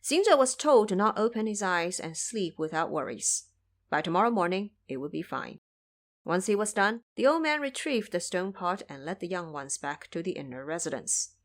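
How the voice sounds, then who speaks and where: A woman narrating an audio drama as scripted storytelling, in an expressive manner. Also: on the low side, moderate pace.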